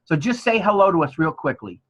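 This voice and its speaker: A man's voice: fairly high pitch, big pitch swings, a little fast, fairly bright tone. New Jersey man teaching, slightly muffled. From New Jersey, USA. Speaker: college instructor. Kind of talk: informal online class teaching. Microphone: online class call. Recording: dull, band-limited online class audio.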